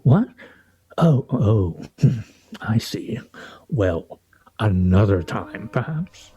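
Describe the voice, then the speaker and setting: An actor playing a pompous, sardonic husband in a radio play, speaking in a wry, theatrical, mock-formal way. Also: male voice, fairly low pitch, very animated, drawn-out words, mellow, breathy edge, muffled recording, heavily acted. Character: pompous, sardonic husband at a weekly scrabble game.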